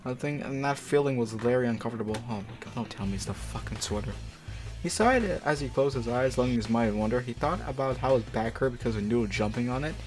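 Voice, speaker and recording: A man's voice: mid-range voice, expressive, quick pace, darkish tone. A man doing a casual read-aloud of amateur fiction, breaking off for slangy, profane, incredulous commentary. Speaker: amateur fanfic reader and commentator. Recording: music behind the voice. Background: music.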